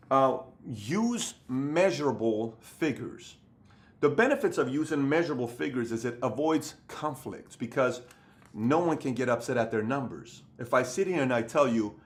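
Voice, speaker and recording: A man in his thirties giving an emphatic, repetitive motivational business talk to camera, seated in a room with bookshelves. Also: mid-range voice. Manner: emphatic, repetitive, acts out other people's voices. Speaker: business coach.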